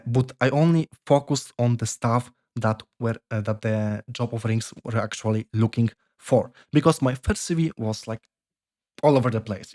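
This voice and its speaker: Young Polish-accented man, casual vlog advice, stops and restarts his sentences, ordinary pitch. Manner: halting, many fillers and restarts. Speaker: software developer vlogger.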